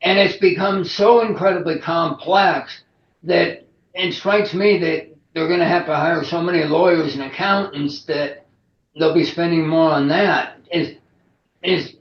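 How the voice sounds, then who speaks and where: Echoey, higher-pitched man interviewing. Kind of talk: conversational interview questioning. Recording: roomy echo, dull muffled sound.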